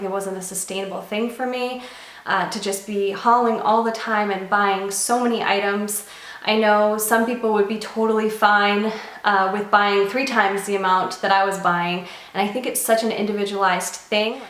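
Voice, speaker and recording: A woman's voice: mid-range voice, fairly bright tone, echoey room. A young woman vlogging casually to camera, nasal from a cold, chatty and earnest. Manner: chatty and earnest, with light laughs. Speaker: beauty vlogger.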